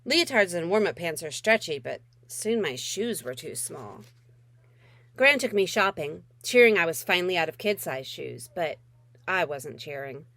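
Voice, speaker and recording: A woman's voice: on the low side, animated, normal speed, fairly bright tone, no echo. A woman author reading her middle grade novel aloud in a wry first-person voice with dramatic emphasis.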